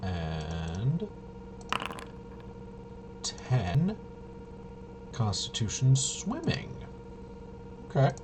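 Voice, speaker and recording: A man with a fairly low voice talks casually through a tabletop game walkthrough. He thinks aloud haltingly, often muttering to himself as he goes. Clear background noise sits behind his voice. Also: wildly swooping pitch. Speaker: host of a tabletop RPG video. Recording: noisy room, clearly audible background noise.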